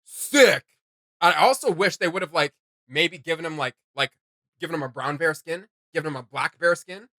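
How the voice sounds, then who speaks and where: A man's voice: fairly high pitch, normal speed, bright, forceful tone, rough recording. A man giving casual, enthusiastic reaction commentary as a co-host, slangy and exclamatory, with music behind him.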